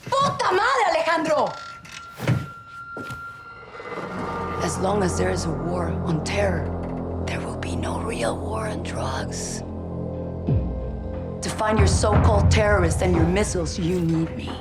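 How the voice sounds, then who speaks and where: A proud female cartel boss with a Mexican accent. She is cold and defiant, dropping into Spanish, with very mobile intonation. Game score runs clearly behind her. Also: on the low side, wildly swooping pitch, over loud music. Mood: furious, insistent. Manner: defiant, deliberate, switches into Spanish. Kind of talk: cinematic video game acting.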